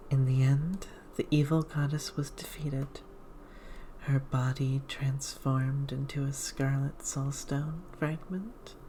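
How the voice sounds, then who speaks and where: Slow, hushed, low-voiced ASMR woman. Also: a little hiss or hum, rough recording. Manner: hushed, slow, measured reading with whispered passages. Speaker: ASMR streamer reading a game aloud. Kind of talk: ASMR, whispered and soft-spoken game read-through.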